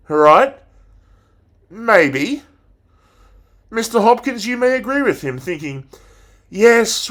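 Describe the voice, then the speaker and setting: Man acting as rousing English teacher, very unhurried, moderately high male voice, declamatory, theatrical monologue. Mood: mocking, rallying. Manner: declamatory, deliberate, with dramatic pauses. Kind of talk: dramatic monologue recitation.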